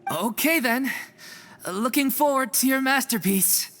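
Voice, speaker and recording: A woman's voice: high voice, a little slow, fairly bright tone, slight background noise. A woman voicing a chipper, easygoing, slightly oblivious young companion in a video game. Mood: encouraging. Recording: long reverb, faint game music.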